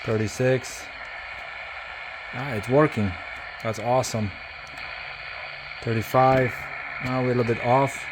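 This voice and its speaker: A man giving a casual hands-on tutorial, speaking haltingly as he thinks aloud while working. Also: medium pitch, steady tone, background noise. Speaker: CB radio hobbyist demonstrating an installation.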